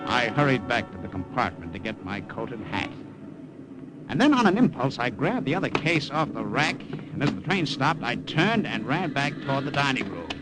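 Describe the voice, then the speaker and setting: A man voicing a nervous secret agent, stammering, lively voice, 1950s radio play, music behind. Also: medium pitch, normal speed, natural voice, rough recording. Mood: narrating. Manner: stammers and fumbles in scenes, narrates in a steady tone. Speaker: narrator and lead actor in a radio drama. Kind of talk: 1950s radio drama narration.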